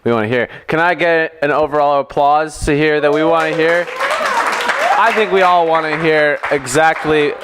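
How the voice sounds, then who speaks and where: A man's voice: a little high, fairly even intonation, normal speed, fairly bright tone, some chatter behind, slight background noise. A man interviewing casually, with a joking, mock-serious manner.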